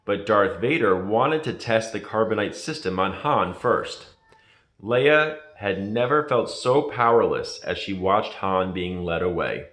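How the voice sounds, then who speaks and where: A man reading a children's story aloud as a scripted narration, in a dramatic, deliberate manner. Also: lowish voice, animated, fairly bright tone. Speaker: storybook reader. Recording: echoey room.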